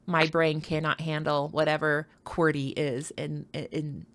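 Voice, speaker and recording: A woman's voice: sits low, level tone, unhurried. Playful, chatty American woman commentating live. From United States. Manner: chatty, playful, rambling with asides. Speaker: livestream card tournament commentator. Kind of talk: casual live commentary and banter with chat.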